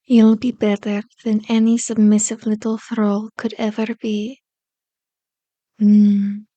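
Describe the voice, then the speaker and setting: A woman playing a seductive, manipulative female vampire in an ASMR roleplay, speaking softly and coaxingly, drifting into breathy whispers close to the microphone. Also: medium pitch, level tone, no echo, muffled recording, close to an everyday voice. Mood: satisfied, possessive. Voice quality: soft, breathy whispers mixed with hushed voice. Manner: slow, soothing, coaxing, intimate. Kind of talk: ASMR roleplay, hypnotic soft speech and whispering. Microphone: close microphone, dry.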